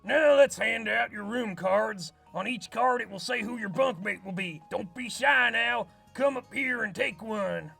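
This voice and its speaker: A man voicing a heavily armed, heavyset male camp director who talks in a brisk, businesslike way. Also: high-pitched, gravelly, bright, forceful tone, breathy edge, faint music behind, full character voice.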